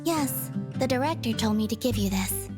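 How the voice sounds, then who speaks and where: An actress playing an aloof, absent-minded young female agent in a video game, deadpan and hesitant. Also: a little high, normal speed, over music, close to an everyday voice.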